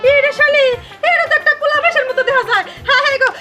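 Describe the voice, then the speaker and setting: A woman playing a melodramatic village mother-in-law in a comic short film, lamenting and scolding with a rural Bangladeshi accent. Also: extremely high pitch. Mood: scandalized. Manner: wailing, drawn-out exclamations. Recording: loud music and other voices behind.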